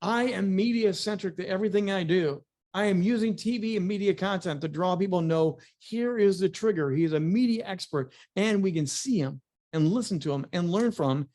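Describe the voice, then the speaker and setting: A man's voice: high voice, a little mellow. A man giving a motivational business talk as a media coach, speaking in punchy, emphatic phrases with repetition for effect.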